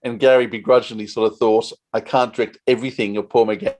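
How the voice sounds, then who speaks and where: A man's voice: on the low side, fairly even intonation, quick pace, a little mellow. Man interviewing in a casual chat.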